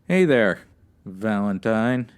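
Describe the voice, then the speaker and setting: A man's voice: lowish voice, sing-song, slow, clear, resonant tone. A man playing a weary, firm, businesslike police lieutenant in a noir radio drama.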